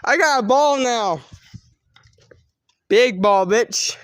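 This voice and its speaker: Someone doing a brash foul-mouthed puppet guy, high swoopy voice, exclaims a lot, noisy homemade recording. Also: lively intonation, slow pace. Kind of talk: improvised crude comedy skit. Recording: noisy home recording with clicks.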